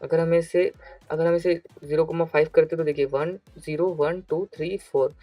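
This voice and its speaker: A man's voice: on the high side, steady tone, moderate pace, mellow, no room sound, soft background music. A man with an Indian accent narrating a step-by-step programming tutorial as an instructor, explaining and repeating key phrases.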